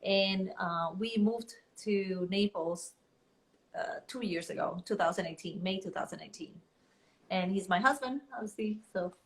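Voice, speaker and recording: A woman who grew up in Colombia answers interview questions about her business. She speaks earnestly, halting now and then with fillers as she gathers her words. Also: mid-range voice. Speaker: guest, business owner. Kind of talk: casual interview.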